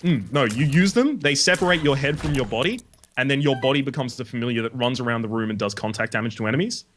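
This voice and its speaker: A man's voice: mid-range voice, expressive, fast, a bit bright, slight background noise. A young Australian man, host of a gaming video, explaining item mechanics in casual chat and swearing now and then. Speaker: let's play host.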